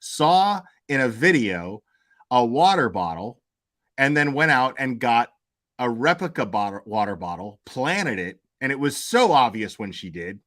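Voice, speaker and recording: A man's voice: medium pitch, sing-song, a little slow, a bit bright. Sarcastic man mocking reaction clips. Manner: sarcastic, profane, stammering, laughs at clips. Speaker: commentary host.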